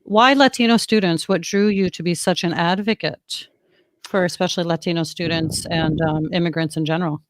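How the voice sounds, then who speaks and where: A woman hosts an interview in a somewhat low voice, recorded dry and close. She asks long framing questions and fills gaps with frequent encouraging murmurs. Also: steady tone, a little fast, no room sound. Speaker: host.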